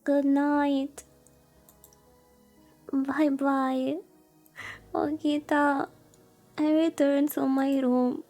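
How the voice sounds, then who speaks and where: A woman streamer with a high-pitched, mellow voice reads a story game aloud. She slips between narration and casual remarks, and now and then trips over a name. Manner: casual asides, stumbles over names. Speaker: VTuber streamer reading a visual novel aloud. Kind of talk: live read-aloud of game dialogue with casual commentary.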